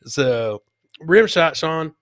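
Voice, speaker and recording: A man's voice: a little high, expressive, very slow, fairly bright tone, a little hiss or hum. A man hosting a live comedy clip show, joking and teasing as he comments on videos and repeats his punchlines.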